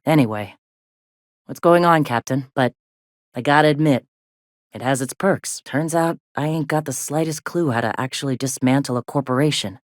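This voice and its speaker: A woman voicing a gruff, plainspoken middle-aged frontier medic in a video game, with a blunt, folksy way of talking. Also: a bass voice, dry recording, studio quality. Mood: weary, candid. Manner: folksy, blunt, drawling slang with swearing. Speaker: video game character, medic and deputy leader.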